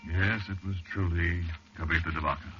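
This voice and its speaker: An actor playing a haunted, undying man who narrates his own horror tale in measured, intimate 1940s radio style. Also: sits low, steady tone, slight background noise, lightly acted. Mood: resigned. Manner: measured, intimate storytelling with pauses. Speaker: narrator and star. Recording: dull vintage radio recording, music behind.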